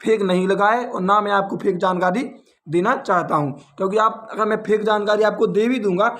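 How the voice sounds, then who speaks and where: A man with a distinctly high-pitched voice and a North Indian accent from Uttar Pradesh. He speaks like a coaching teacher walking students through an update informally. He often rephrases his points and throws in rhetorical questions. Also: fairly even intonation, a little fast, fairly bright tone. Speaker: exam coaching teacher and channel host.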